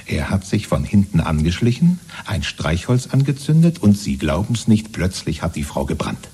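Very deep, husky, blustering neighbour. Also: male voice, big pitch swings, normal speed, mellow, heavily acted. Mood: chummy, boastful. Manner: chummy, blustering, repeatedly matey. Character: hearty, gullible, eager party-loyal neighbour and proud father. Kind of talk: 1970s German radio drama acting.

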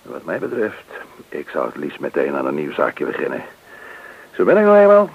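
A brash career criminal who brags without shame. The voice is low, husky and lively in its swings. It comes through a tinny old radio recording. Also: male voice, moderate pace, slight background noise, heavily acted. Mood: boastful. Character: brash, unrepentant career crook and boastful war veteran. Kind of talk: Dutch radio play acting.

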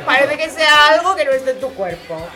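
An actress plays a candid, giggly woman in a comic audio play. Her high voice swings widely but takes its time. Music plays clearly behind. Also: very animated, a little fast, over a noisy crowd. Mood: musing. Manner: giggly, conversational. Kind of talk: comic theatrical audio drama.

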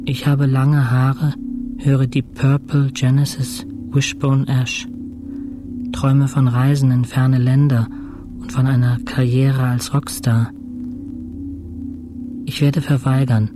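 An actor playing a bedridden, introspective intensive-care patient in a radio drama monologue, speaking in measured, fragmentary short phrases. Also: male voice, mid-range voice, little pitch movement, music in the background, lightly acted. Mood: quiet, remembering.

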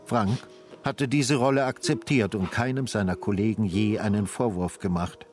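A man narrating a literary radio drama in a sombre, measured and grave style. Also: fairly low pitch, fairly even intonation, darkish tone, faint music behind.

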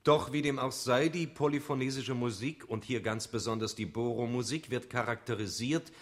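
An actor playing a formal, solemn academic lecturer in a scripted radio play, explaining things pedantically. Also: male voice, mid-range voice, moderate pace, natural voice. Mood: solemn, pedantic. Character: formal academic lecturer presenting exotic music research.

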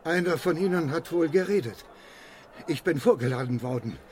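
An actor playing an evasive, fatalistic man with a guilty past, defensive and halting in radio drama style. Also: on the high side, expressive, a little mellow, a little hiss or hum, close to an everyday voice. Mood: anxious. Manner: defensive, halting under pressure.

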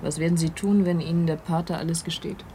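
Low-voiced, wry policewoman played by an actress. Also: steady tone, fast talker, dark tone, a little hiss or hum, natural voice. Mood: questioning. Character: matter-of-fact, wry female police officer on stakeout. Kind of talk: radio drama acting in German.

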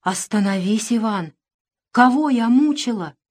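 A woman's voice: a little high, expressive, measured pace, darkish tone. Imperious aging Russian mistress of the house, wounded and indignant, commanding outbursts, for audiobook drama, played by a woman. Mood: wounded outrage.

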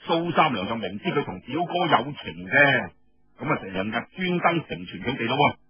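A man with a Cantonese accent telling a story in an expressive, colloquial oral storytelling style, with rhetorical asides. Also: mid-range voice, normal speed, husky, lo-fi sound, soft background music. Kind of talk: dramatic traditional oral storytelling narration.

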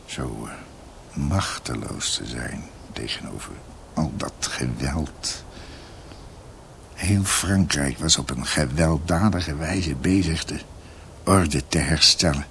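An actor playing a grave doctor who recounts his family's past in a halting, reflective radio drama narration. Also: rumbling low, expressive, creaky, sharp tone, a little hiss or hum, exaggerated voice. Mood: reflective, sombre.

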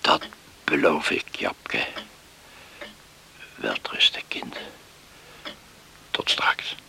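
Gruff, proud farmer, radio drama: very low, slow, halting and terse. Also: male voice, lively intonation, sharp tone, in a whisper, heavily acted. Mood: weary, resigned. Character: stubborn, embittered farmer father, gruff and proud. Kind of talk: Dutch radio play acting.

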